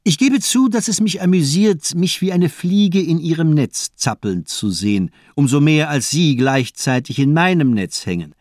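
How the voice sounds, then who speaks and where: A man acts out a murderous doctor in a radio crime play. His delivery is precise and lecturing, with a lively, swooping melody that turns cold menace into mocking amusement. The sound is dry, with no room on it. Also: on the high side, moderate pace, hardly any pauses, no room sound, lightly acted. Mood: amused, methodical. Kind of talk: radio crime drama acting.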